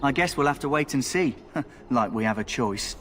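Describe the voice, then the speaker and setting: Man playing a higher-voiced, wry rogue recruit. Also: lively intonation, moderate pace, close to an everyday voice. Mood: wry, wary. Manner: informal, wry, streetwise. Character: cheeky, wary male rogue recruit from a rough village. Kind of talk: video game dialogue. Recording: game music behind the voice.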